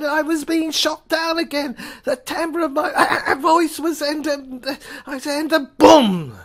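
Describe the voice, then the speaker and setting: Female narrator for audio drama: high voice, drawn-out pace, faltering and intense first-person storytelling. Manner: halting, repeating words, overwrought. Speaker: narrator reading an audio screenplay.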